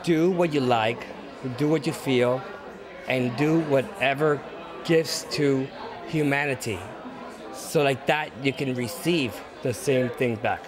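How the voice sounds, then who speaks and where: A painter and photographer from Cuba giving short, blunt, earnest answers in an interview at an outdoor art event. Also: male voice, medium pitch, takes it slowly. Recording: crowd chatter and music behind.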